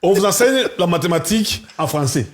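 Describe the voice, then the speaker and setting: A man's voice: high-pitched, lively intonation, fairly quick. A man in impassioned conversation who chimes in often and echoes his partner's words.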